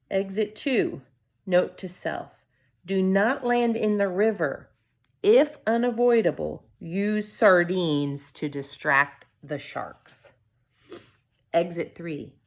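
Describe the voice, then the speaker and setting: Woman reading a kids picture book out loud, really slow, lowish voice, expressive w dramatic pauses. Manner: expressive, deliberate dramatic pauses between short phrases. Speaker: coach reading a children's story. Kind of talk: storybook read-aloud with brief asides to viewers.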